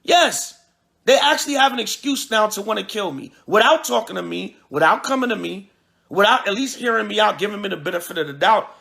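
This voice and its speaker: A man giving an informal preaching address as a religious leader, speaking emphatically with repetition and halting restarts. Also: high-pitched, lively intonation, gravelly, bright, forceful tone. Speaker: priest and religious group leader. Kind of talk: livestreamed address mixing preaching and informal talk.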